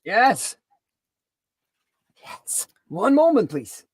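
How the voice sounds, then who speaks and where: A woman's voice: a little high, sing-song, very slow, breathy edge, no echo, clean studio sound. A woman co-hosting a coding livestream in casual, unscripted chat.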